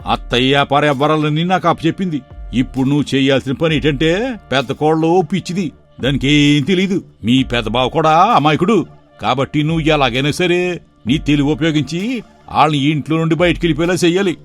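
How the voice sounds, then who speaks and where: A man voicing a scheming middle-aged uncle in an animated story, sly and wheedling. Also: a little high, brisk, faint music behind, natural voice. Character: scheming middle-aged man plotting to seize his sister's estate.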